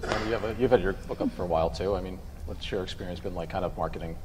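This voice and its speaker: A man's voice: sits low, racing. A young man moderating a live panel casually from a lectern on stage. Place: convention stage before an audience. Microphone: lectern microphone. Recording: reverberant hall, faint noise.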